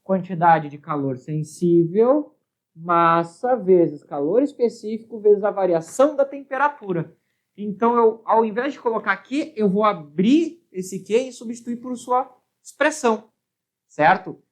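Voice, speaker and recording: A woman's voice: medium pitch, lively intonation, measured pace. Brazilian woman teaching step by step. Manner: explanatory, often checking with "certo?" and "né?". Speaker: physics teacher. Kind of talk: step-by-step lesson at a board.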